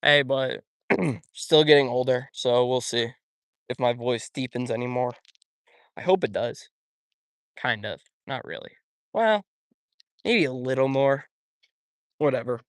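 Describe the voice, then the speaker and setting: A man's voice: mid-range voice, lively intonation, unhurried, pauses often, dry recording, studio quality. A young man fishing at a pond outdoors, chatting casually and answering viewers' comments while he streams. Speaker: fishing YouTuber.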